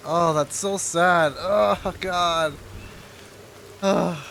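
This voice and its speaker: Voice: mid-range voice, very slow, creaky, fairly bright tone, slight background noise. A streamer giving casual, emotional reaction commentary over a game, given to exclamations.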